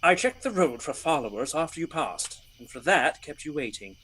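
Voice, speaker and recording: A man's voice: fairly high pitch, big pitch swings, normal speed, fairly bright tone, slightly rough, full character voice. A man acting a gallant, teasing outlaw leader in a radio play, with courtly, playful phrasing.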